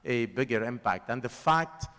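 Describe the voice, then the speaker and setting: A man's voice: mid-range voice, animated, normal speed, fairly bright tone. A Lebanese man whose first language is Lebanese Arabic, answering questions on a festival panel in a thoughtful way, with fillers and repeated words. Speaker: panelist and filmmaker. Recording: hall with long reverb.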